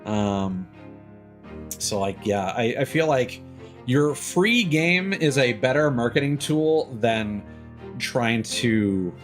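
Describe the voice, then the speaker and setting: A man's voice: mid-range voice, animated, slow, soft background music. A man in his thirties chatting casually on a game livestream, rambling and opinionated as he talks. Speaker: game streamer.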